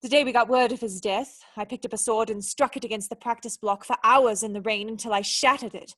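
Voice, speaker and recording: Radio play actress for a young noblewoman: high register, formal, defensive, secretly knightly and proud. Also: steady tone, fairly quick, a bit bright. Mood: wistful. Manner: earnest, protesting, ladylike formal speech.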